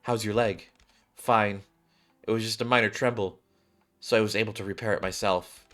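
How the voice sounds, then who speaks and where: A man's voice: fairly low pitch, steady tone, normal speed, a bit bright, faint music behind, natural voice. A man voicing a grim, weary woman soldier with a serious, formal manner in a sci-fi game. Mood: grave.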